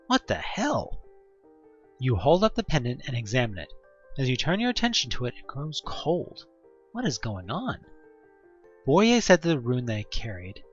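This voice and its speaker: Animated man narrating, slightly muffled. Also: fairly high pitch. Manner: theatrical, wry. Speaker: narrator of a fan audio drama. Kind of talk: dramatic second-person game narration.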